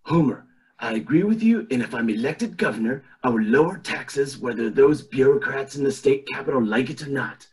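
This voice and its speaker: Man doing a raspy, pompous old tycoon. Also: mid-range voice, animated, moderate pace, darkish tone, rough recording. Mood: smug, campaigning. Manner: pompous, theatrical. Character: scheming old male tycoon running for governor. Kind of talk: amateur cartoon table read. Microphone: video call.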